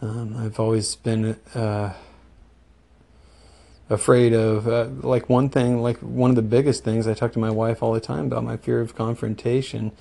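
A man's voice: mid-range voice, flat delivery, normal speed, vocal fry, mellow. A man with the flu leaving a recorded message for a podcast host, speaking hesitantly with many fillers. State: sick with the flu. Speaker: caller.

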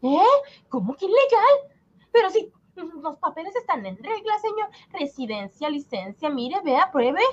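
Radio comedy: devout, excitable immigrant wife, very animated, exclaims and frets, dull lo-fi sound. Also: female voice, high-pitched, measured pace. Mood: uneasy. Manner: exclaiming, wailing when worried. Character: fretful, pious wife of an immigrant banker. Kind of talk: comic radio drama acting.

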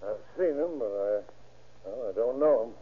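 An actor playing an evasive, surly small-time crook, halting and slangy, in a 1930s radio drama. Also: male voice, medium pitch, animated, husky. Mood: surly, defiant. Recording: tinny old-time radio recording.

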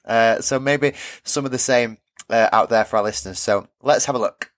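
A man's voice: medium pitch, moderate pace, dry recording, clean studio sound. Nasal man with a head cold. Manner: chatty, self-deprecating, laughs often. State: has a cold, nasal and sore throat. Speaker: podcast host and primary teacher. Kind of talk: light-hearted podcast chat.

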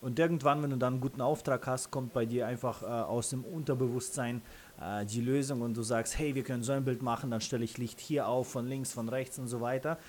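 A man with a Russian accent, a native Russian speaker, answering interview questions casually in halting, self-correcting phrases. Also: medium pitch, fairly even intonation, darkish tone, a little hiss or hum. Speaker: interview guest, freelance photographer and videographer.

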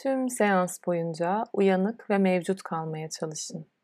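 A woman leading a guided relaxation as a yoga nidra instructor, speaking in a soothing, unhurried way with pauses between instructions. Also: fairly low pitch, a little mellow, no echo, clean studio sound.

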